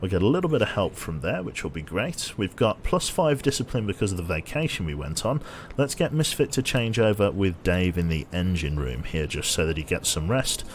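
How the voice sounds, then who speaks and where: A man streaming a game with casual commentary, a little tired, his voice slightly nasal and a bit raspy, chatty as he thinks aloud and thanks viewers. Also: low-pitched, lively intonation, a little fast, hardly any pauses, slight background noise.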